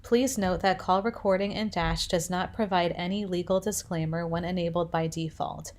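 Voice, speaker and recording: A woman presenting a software tutorial, narrating instructions step by step. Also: fairly low pitch, fairly even intonation, normal speed.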